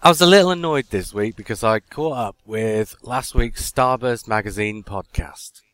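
A man from Yorkshire, hosting a podcast with casual banter, drawn-out anecdotes and mock exasperation. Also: fairly low pitch, fairly bright tone, clear, resonant tone, no echo. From Yorkshire, England. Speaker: podcast host.

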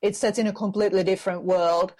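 A woman's voice: mid-range voice, fairly even intonation, mellow. Hesitant woman author. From Finland. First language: Finnish. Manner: thoughtful, hesitant, many pauses and restarts. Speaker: author guest. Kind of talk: interview answers.

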